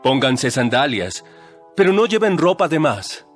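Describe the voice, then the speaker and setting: An actor playing a calm, authoritative male teacher in a dramatized Bible recording. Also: a little high, a little slow, soft background music. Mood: instructing. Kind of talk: dramatized Bible audio acting.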